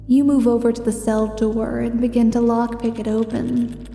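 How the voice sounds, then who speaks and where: Mellow woman narrating a fantasy roleplay. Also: fairly high pitch, level tone, normal speed, creaky, reverberant, long reverb tail. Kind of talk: second-person scripted narration, ASMR roleplay.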